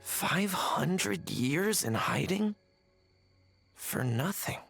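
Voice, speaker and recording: A woman voicing a timid, gloomy tanuki yokai in a video game, anxious and weary, with a creaky voice. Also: fairly low pitch, lively intonation, extremely slow, a bit bright, soft background music, full character voice. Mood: dejected.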